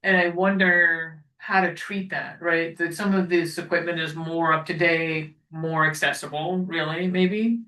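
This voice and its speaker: A woman's voice: deep voice, flat delivery, a little slow, few pauses, sharp tone. A woman chairing a committee meeting over a call, hesitant and trailing off with many fillers. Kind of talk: meeting discussion. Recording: echoey room, far from the mic.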